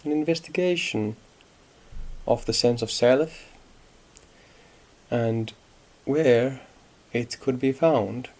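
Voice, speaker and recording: A man guiding a meditation in a calm, unhurried manner, leaving long pauses between phrases. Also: medium pitch. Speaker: meditation guide. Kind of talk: guided meditation, unscripted instruction.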